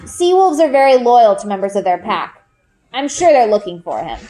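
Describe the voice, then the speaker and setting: Storybook father played by a woman: knowing, kindly, soft-spoken, patient explainer with a lower register. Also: very animated, moderate pace, faint music behind. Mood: calm, instructive. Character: gentle, knowing father, a magical nature seeker. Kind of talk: children's book read-aloud.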